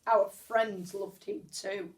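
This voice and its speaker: A woman reading a children's story aloud like a teacher, expressively, with measured pauses and stretched words. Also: mid-range voice, steady tone, a little hiss or hum.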